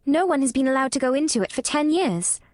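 A woman's voice: high voice, expressive, fast talker, dry recording, voice pushed up. A woman reading an audiobook, voicing a curious ten-year-old girl who answers carefully and a little nervously. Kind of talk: audiobook character voice.

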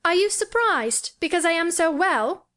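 Woman as a very high-pitched, eager young boy. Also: lively intonation, normal speed, a bit bright. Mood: earnest, hopeful. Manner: earnest, questioning, well-spoken. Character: eager, imperious young boy, thoughtful and earnest.

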